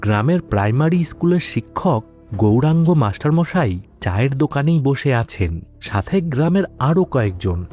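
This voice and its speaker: A male narrator tells a dramatic story in a mellow, mid-pitched voice. His intonation rises and falls widely over soft background music. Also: very animated, normal speed, husky.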